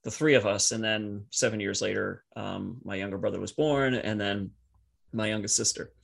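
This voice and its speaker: A man whose first language is French, telling personal stories casually as a podcast guest in a halting, reflective, easily amused way. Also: on the low side, steady tone, vocal fry, husky.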